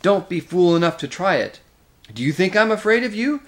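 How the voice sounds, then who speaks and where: A man voicing a hot-tempered, sour male dwarf, loud and challenging, in a storybook reading. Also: sits high, sing-song, fairly quick, voice pushed up. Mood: defiant, threatening.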